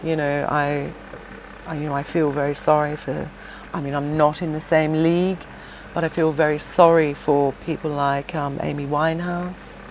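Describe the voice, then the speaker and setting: A middle-aged woman with a low voice talks through her past in a relaxed interview. She is chatty, circles back with fillers and restarts, and has a faint hum behind her. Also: normal speed, poor audio. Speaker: podcast guest, shop owner and former singer. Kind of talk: casual interview chat.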